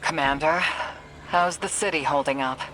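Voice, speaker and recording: A woman's voice: sits low, normal speed, over loud music, lightly acted, low-quality recording. An actress playing a respectful, questioning military woman in a video game cutscene. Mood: probing.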